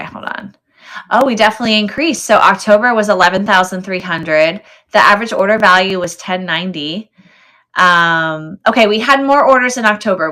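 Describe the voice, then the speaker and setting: A woman's voice: mid-range voice, pushed and bright. A woman hosting a casual live sale stream, chatting with viewers and thinking aloud as she goes. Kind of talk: casual livestream chat with viewers.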